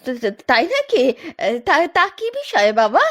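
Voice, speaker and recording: A woman's voice: high-pitched, huge pitch swings, measured pace, exaggerated voice. A woman voicing a scheming, cajoling village mother who feigns sorrow in a cartoon story. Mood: nervous. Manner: stammering, cajoling, lamenting. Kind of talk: Bengali cartoon story voice acting.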